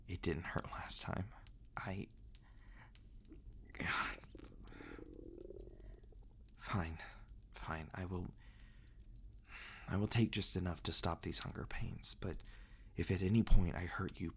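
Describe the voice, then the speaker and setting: Low, soft-spoken gentle male vampire acted by a man. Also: creaky, slight background noise. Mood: hesitant, reluctant. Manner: soft, halting, intimate murmuring. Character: gentle, protective, reclusive male vampire, tender and hesitant. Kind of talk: ASMR roleplay acting.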